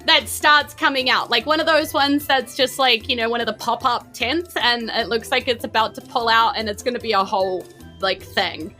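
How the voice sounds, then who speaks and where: A woman's voice: fairly high pitch, normal speed, bright, forceful tone. A woman with a New Zealand accent casually improvising narration as the game master of a tabletop game. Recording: music playing behind. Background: background music.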